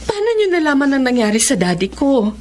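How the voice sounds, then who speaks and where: An actress playing a gentle, sorrowful woman teacher in a Filipino radio drama. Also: high-pitched, sing-song, a little fast, slight background noise. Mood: touched. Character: gentle grieving woman teacher of blind students.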